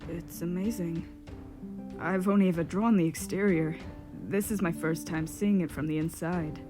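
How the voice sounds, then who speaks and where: A woman voicing a gentle, earnest, wistful painter in a comic fan dub. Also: lowish voice, steady tone, moderate pace, lightly acted. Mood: amazed, joyful. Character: gentle, earnest painter longing to stay home. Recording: very loud music behind the voice.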